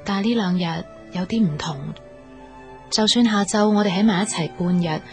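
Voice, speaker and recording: A woman playing a gentle, affectionate young daughter in a radio drama, speaking conversational Hong Kong Cantonese. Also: mid-range voice, normal speed, faint music behind, close to an everyday voice. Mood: wistful. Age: young adult. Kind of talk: radio drama monologue and dialogue.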